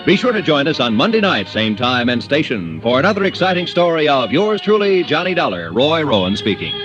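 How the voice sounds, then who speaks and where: A man's voice: on the high side, very animated, brisk, muffled recording, over music. A man announcing the closing credits of a 1950s radio show in a polished, formal delivery. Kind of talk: scripted announcing.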